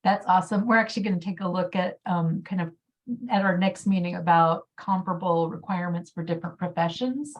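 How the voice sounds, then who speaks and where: A woman's voice: on the low side, fairly quick. A woman informally facilitating an online meeting, heard through a distant, dull microphone.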